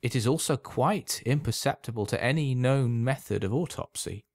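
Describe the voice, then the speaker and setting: Guy doing a sly polite old shopkeeper in an audiobook, creaky voice, formal, dry sound. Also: mid-range voice, moderate pace, a little mellow, no echo, pitched above the performer's own voice, close to an everyday voice. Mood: sly salesmanship. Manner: formal, precise, insinuating. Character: polite, sly, indifferent old male potion seller.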